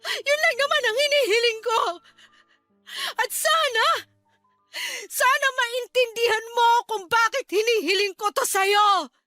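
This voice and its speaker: A woman's voice: extremely high pitch, measured pace, sharp tone, faint music behind, heavily acted. A woman playing an upset young wife in a Tagalog radio drama, pleading emotionally with her husband. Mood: tearful pleading.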